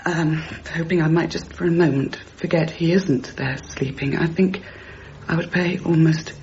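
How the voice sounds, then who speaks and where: An actress playing a rational, brittle grieving mother in a naturalistic radio drama, speaking in halting, repeated, self-correcting phrases. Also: low-pitched, fairly even intonation, darkish tone, dull audio, slight background noise. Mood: tearful longing.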